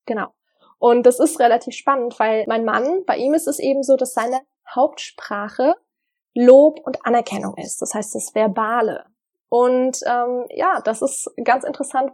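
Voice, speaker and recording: A woman talking straight to her viewers in an earnest, reflective way, pausing often with small fillers as she shares advice on love and partnership. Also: fairly high pitch, a little mellow. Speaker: vlogger giving relationship advice. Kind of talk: casual direct-to-camera advice talk.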